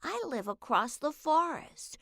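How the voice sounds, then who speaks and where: A woman's voice: high-pitched, sing-song, a little slow, gravelly, fairly bright tone, no room sound, pitched above the performer's own voice, exaggerated voice. Woman voicing a fussy polite storybook turtle, bookish, muttering, dry close sound. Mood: prim. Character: prim bookish male turtle.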